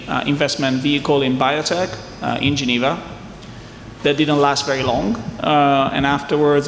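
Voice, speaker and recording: A man's voice: mid-range voice, a bit bright, slight background noise. Italian man talking in an echoey hall. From southern Italy. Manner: chatty, jokes with the audience. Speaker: venture capital investor on a panel. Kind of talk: conference fireside chat. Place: conference hall. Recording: echoey hall, faint ambience.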